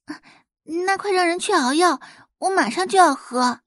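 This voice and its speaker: A woman's voice: very high-pitched, moderate pace, fairly bright tone, dry recording, studio quality, voice pushed up. A woman voicing an anxious, impatient young heiress in an audio drama. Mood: eager. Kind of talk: Chinese audio drama acting.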